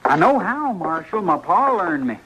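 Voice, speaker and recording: A man's voice: sits high, very animated, normal speed. An actor playing a cocky, stubborn frontier boy in an old-time radio western, boastful and with folksy rural speech. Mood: eager, boastful. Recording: noisy vintage radio drama recording.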